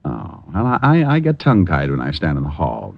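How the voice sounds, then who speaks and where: An actor playing a wry, glib private detective in an old-time radio drama. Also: male voice, extremely low pitch, huge pitch swings, fairly quick, creaky, no room sound, exaggerated voice. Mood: flustered. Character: wisecracking, easily flustered male private detective. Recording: muffled old radio recording.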